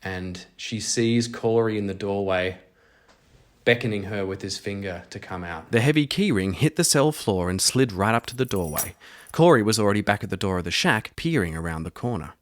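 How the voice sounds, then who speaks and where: A man's voice: on the low side, animated, normal speed. A man hosting a solo role-playing audio drama, moving between scripted story narration and casual play-by-play of the game. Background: music.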